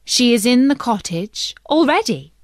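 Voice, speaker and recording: A woman's voice: on the high side, big pitch swings, unhurried, dry recording, clean studio sound, voice pushed up. A woman voicing a magical wish-granting fish in a fairy tale, terse and commanding. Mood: curt. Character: magical male fish granting wishes, curt.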